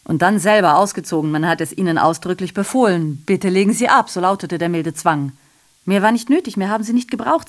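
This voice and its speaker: An actress playing an ironic, rambling messenger in a radio drama monologue, sardonic, declamatory and interrupting herself. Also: female voice, fairly low pitch. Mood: sardonic, musing. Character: ironic, rambling female messenger addressing the audience.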